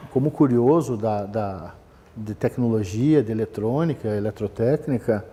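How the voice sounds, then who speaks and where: A middle-aged man from Brazil, telling his career story in a relaxed talk, often hesitating and restarting. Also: mid-range voice, long reverb tail. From southern Brazil. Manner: rambling, many fillers and restarts. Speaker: business manager giving a talk. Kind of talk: conversational talk, personal history.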